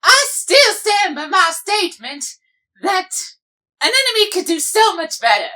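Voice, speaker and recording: A woman's voice: very high-pitched, sing-song, a little slow, bright, forceful tone, heavily acted. A woman voicing an indignant, melodramatic villainous pony mare in a cartoon fan dub, given to whiny, over-dramatic outbursts. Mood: stubborn, huffy. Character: melodramatic, indignant villainous young mare, protective older sister. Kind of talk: fan comic dub voice acting.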